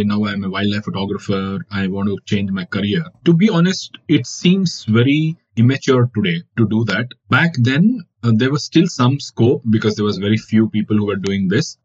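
A man's voice: medium pitch, a little mellow, breathy edge. A man from India whose first language is Kannada, giving reflective interview answers as a wildlife photographer with many fillers. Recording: dull lo-fi audio.

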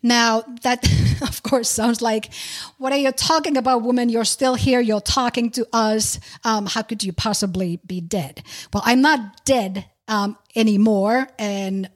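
A woman's voice: a little high, a little slow. A middle-aged woman from Finland, hosting a podcast and telling a personal story. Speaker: podcast host.

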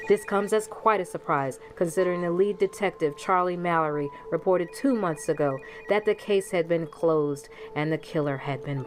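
An actress plays a female news reporter reading a grim bulletin in formal broadcast style. Her pitch sits a little low, with light music behind her. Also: lightly acted. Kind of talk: broadcast news delivery in audio drama.

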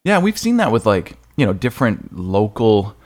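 Low-voiced man hosting a casual interview. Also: big pitch swings, normal speed. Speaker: podcast host interviewing an author.

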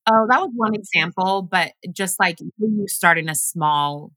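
A woman's voice: mid-range voice, moderate pace, pushed and bright, no echo, rough recording. A woman whose first language is Luganda, raised in Washington State, telling stories casually as a podcast guest with lots of fillers. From grew up in Washington State. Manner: chatty storytelling, many fillers. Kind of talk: casual conversation.

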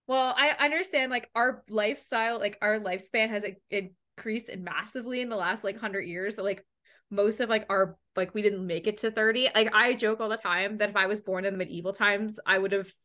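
Bright-voiced chatty woman podcast host. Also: mid-range voice, a little fast. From Canada. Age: 20s. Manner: swears freely, animated and chatty. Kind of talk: casual interview chat.

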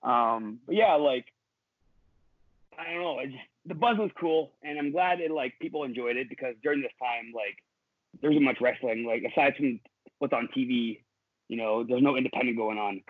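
A young man talks loosely and easily as a podcast guest, rambling and filling his pauses. His voice comes through a narrow, phone-like line. The sound is dry, with no room around it. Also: mid-range voice, no room sound. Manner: relaxed, rambling, many fillers and laughs. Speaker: guest, professional wrestler. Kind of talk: casual interview chat. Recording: dry, tinny phone-like call audio.

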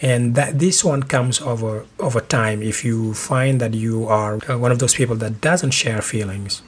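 Husky-voiced man giving relationship advice. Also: medium pitch. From Senegal. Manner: measured, earnest advice-giving with small restarts. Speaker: podcast host. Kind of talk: relationship advice podcast monologue.

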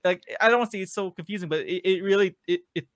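A young man hosts a relaxed conversation in a bright, forward voice. He trips over his own words and restarts sentences often, padding them with "like." The recording is close and dry with no room sound. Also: high voice, very fast, dry recording. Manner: stammering restarts, curious, lots of "like". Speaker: host. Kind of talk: casual interview chat.

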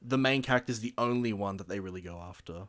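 A man's voice: lowish voice, fast talker. A man chatting casually about films as a podcast co-host, explaining his points a little hesitantly. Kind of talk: casual film chat.

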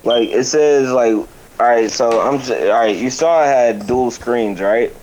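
A man's voice: medium pitch, a little slow, a little mellow, a little hiss or hum, poor audio. A man calling in over a video call, chatting casually, swearing freely and stuttering now and then. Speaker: call-in guest.

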